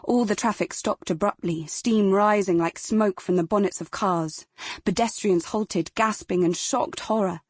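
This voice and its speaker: A woman's voice: mid-range voice, a little slow, a little mellow, breathy edge, no echo, clean studio sound. A woman with a British accent narrating dramatic fiction as a scripted audiobook.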